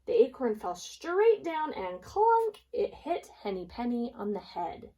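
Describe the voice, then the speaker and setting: A woman reads a children's storybook aloud as a preschool teacher would. Her voice sits fairly high and moves up and down a lot, and she pauses to explain things expressively to young listeners. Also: wildly swooping pitch, warm tone.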